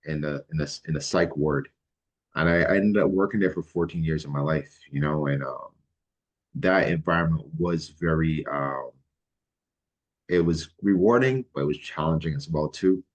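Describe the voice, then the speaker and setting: Middle-aged man, extremely low voice, relaxed and hesitant, lots of ums. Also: dull audio. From Barbados. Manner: halting, many ums and restarts, relaxed. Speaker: guest, licensed mental health counselor. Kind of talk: casual interview answers.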